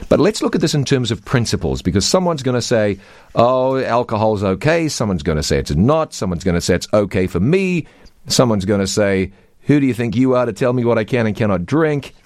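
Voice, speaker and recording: A man's voice: medium pitch, very animated, a little fast, darkish tone, dry recording, studio quality. A man hosting a radio Bible question show, speaking in an earnest, emphatic preaching manner.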